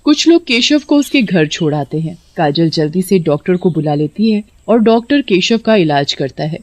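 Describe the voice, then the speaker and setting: A woman's voice: on the low side, lively intonation, moderate pace, darkish tone, no room sound. A woman reading a scripted story as its narrator.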